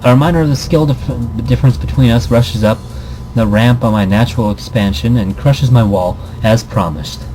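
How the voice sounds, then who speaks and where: A man's voice: mid-range voice, normal speed, warm tone, low-quality recording. A man giving casual commentary and reading game text aloud, rambling and interrupting himself, with playful asides. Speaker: let's player reading a visual novel aloud. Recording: background noise behind the voice.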